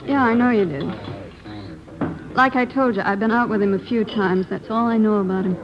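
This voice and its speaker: A woman's voice: mid-range voice, moderate pace, lightly acted. A woman playing a world-weary, evasive suspect in an old radio crime drama, answering curtly. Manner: evasive, curt. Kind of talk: 1940s-50s radio drama acting. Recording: dull old radio recording, crowd chatter behind.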